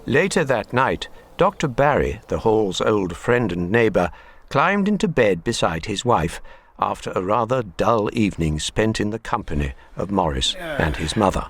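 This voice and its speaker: A man playing a measured, wry literary narrator in a radio drama. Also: fairly low pitch, sing-song, slight background noise.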